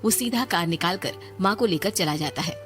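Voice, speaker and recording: A woman's voice: on the low side, animated, fairly quick. Woman narrating a story over music. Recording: music under the voice.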